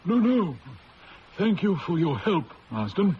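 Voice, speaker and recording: An actor playing a shrewd, methodical male police inspector in a 1970s radio drama, speaking in a crisp, polite way. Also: sits high, very animated, a little slow. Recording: lo-fi old radio broadcast with hiss.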